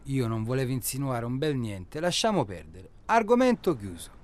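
A male actor plays a blunt family man in his thirties in a naturalistic radio drama. His voice is mid-pitched. He is terse and insistent, probing with short questions and repeating himself. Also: sing-song, normal speed, close to an everyday voice. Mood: defensive. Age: 30s.

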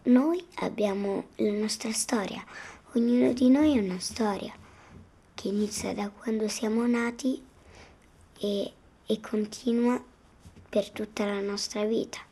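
A woman talking slowly and haltingly, pondering as she goes. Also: medium pitch, dark tone, slightly rough.

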